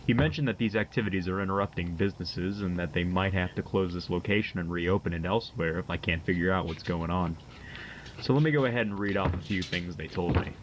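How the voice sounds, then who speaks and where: A man playing a calm, methodical paranormal investigator, speaking his case notes in a measured, matter-of-fact way with hesitant pauses. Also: sits low, slight background noise, natural voice, poor audio. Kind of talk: found-footage horror monologue, spoken as case notes.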